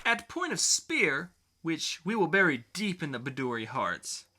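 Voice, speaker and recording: A man's voice: a little high, big pitch swings, unhurried, noisy background, voice pushed down. A man voicing a whiny, grandiose dictator villain in a melodramatic, pompous comic-book reading.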